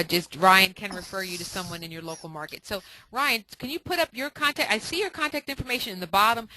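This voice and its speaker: A woman hosting a professional web conference call, putting questions in a somewhat halting way. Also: mid-range voice, sharp tone, no room sound, poor audio. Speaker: host, inspection mentor.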